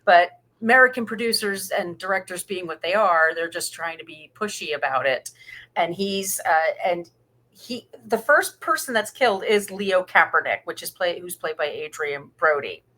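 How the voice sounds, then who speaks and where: A woman's voice: lowish voice, animated, normal speed, sharp tone, rough recording. A woman co-hosting a podcast, discussing film in a casual, matter-of-fact conversational way. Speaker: podcast co-host.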